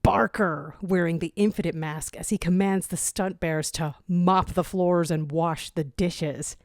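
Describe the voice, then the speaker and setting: A woman narrating a scripted fan fiction story in an expressive storytelling style. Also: lowish voice, unhurried, dry recording, clean studio sound.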